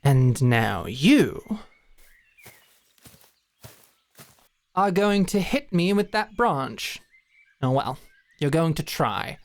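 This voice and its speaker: Woman acting proud female knight, low-pitched, dry close sound, brusque, commanding, taunting, fantasy audio drama. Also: big pitch swings, takes it slowly, dry recording, exaggerated voice. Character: stern, proud woman knight, brusque and teasing sparring mentor.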